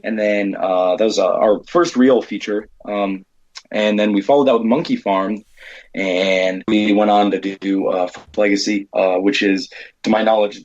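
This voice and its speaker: A middle-aged American man talks casually as an interview guest. His voice is on the low side. The audio is a bit dull and band-limited. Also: darkish tone. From Arizona, United States. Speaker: interview guest, independent filmmaker.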